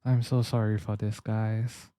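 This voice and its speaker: A man's voice: lowish voice, little pitch movement, a little slow, dark tone, no echo. A man livestreaming a game with casual, emotional, apologetic reactions, speaking close to the mic.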